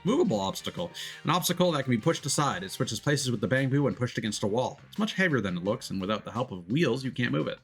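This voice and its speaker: A man's voice: medium pitch, sing-song. Man rambling through a game stream. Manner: rambling, halting with repeated filler words. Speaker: VTuber streamer. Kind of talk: casual let's play commentary, reading game text aloud. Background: faint game music.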